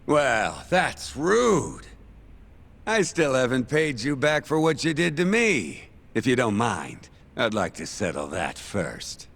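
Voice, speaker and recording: A smug gangster villain who drawls slowly and swoops theatrically through his pitch. The voice is gravelly, with mock courtesy laid over menace, in the manner of a dramatic game cutscene. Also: very animated, fairly bright tone, heavily acted. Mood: sly menace. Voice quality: creaky, gravelly.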